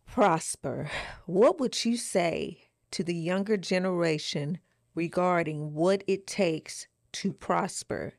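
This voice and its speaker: Woman, lower pitch, podcast co-host, casual questioning, dry close sound. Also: takes it slowly, creaky, darkish tone, no room sound.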